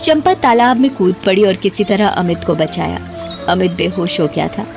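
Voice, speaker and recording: A woman's voice: lowish voice, normal speed, gravelly, over music. A woman narrating a scripted Hindi story in a dramatic storytelling style.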